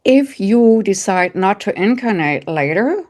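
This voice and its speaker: A middle-aged woman from Germany with a heavy German accent, gently guiding an online audience through a spiritual exercise and answering questions in short, repeated phrases. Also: mid-range voice, animated, a little slow. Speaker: psychic medium and coach, guest speaker.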